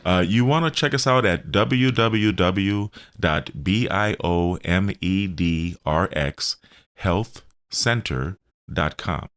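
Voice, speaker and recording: A middle-aged man answering questions in a radio interview, explaining his health services carefully and haltingly. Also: sits low, a bit bright. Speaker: show host and health business owner being interviewed.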